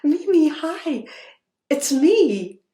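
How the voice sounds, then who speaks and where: Woman author, children's read-aloud, unhurried, roomy sound. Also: sits high. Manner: slow, expressive storytelling. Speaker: author reading her book aloud. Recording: roomy echo.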